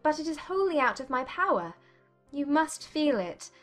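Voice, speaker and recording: A woman voicing a sympathetic, thoughtful young sister in a dramatized audiobook, gentle and measured. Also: high voice, big pitch swings, soft background music, voice pushed up.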